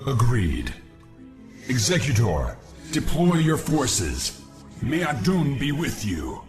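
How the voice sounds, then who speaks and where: Proud young alien fighter for a game, very animated pitch, formal speeches, music behind. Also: male voice, slow. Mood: humble, resolute. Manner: formal, earnest, declamatory. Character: proud, eager young male alien warrior. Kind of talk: video game voice acting.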